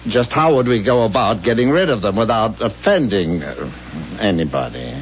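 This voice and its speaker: A meek, flustered husband in an old radio comedy-drama, hesitant and stammering. His lowish voice leaps about in fussy protest on a dull, noisy recording. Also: expressive, normal speed.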